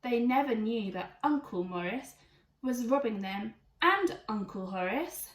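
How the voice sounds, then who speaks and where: A woman's voice: on the high side, animated, a little slow, far from the mic. A woman reading a rhyming children's storybook aloud in a theatrical, emphatic way. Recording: echoey room, away from mic.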